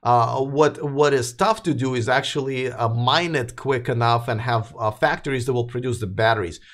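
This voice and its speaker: Tired man hosting a livestream, mid-pitched voice, loose and rambling, heavy on fillers. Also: moderate pace, a bit bright. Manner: rambling, many fillers and restarts. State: tired, voice wearing out. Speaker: host of an electric car news livestream. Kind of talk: casual live chat, reading viewer comments.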